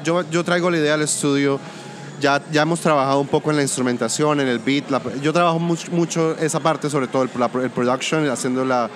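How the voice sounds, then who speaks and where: A man from Colombia with a Colombian-Venezuelan border accent, a music producer talking through his work in a relaxed interview, pausing and restarting his sentences. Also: on the high side. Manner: thoughtful, restarts often. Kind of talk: casual interview conversation.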